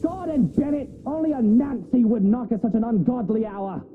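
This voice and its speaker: An actor playing an irritable, haughty rich husband in a visual novel, complaining. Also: male voice, extremely high pitch, normal speed. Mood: irritated. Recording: tinny phone-like band with faint noise.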